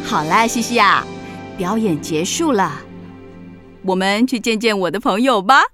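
A woman voicing a lively, affectionate, teasing witch aunt in a children's radio drama. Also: medium pitch, unhurried, over music, natural voice. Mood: friendly. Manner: playful, expressive.

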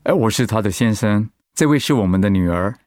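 An actor playing a caring pastor and husband in a radio drama, speaking earnestly. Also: male voice, fairly low pitch, lively intonation, brisk, a little mellow, slight background noise, natural voice. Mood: concerned.

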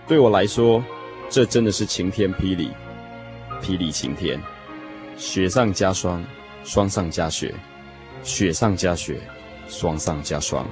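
An actor playing a lovesick young man, telling his lost first love in an expressive, emotional radio drama style. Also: low voice, a little slow, long pauses. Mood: wistful. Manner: expressive, sighing, emotional storytelling. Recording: music playing behind the voice. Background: music.

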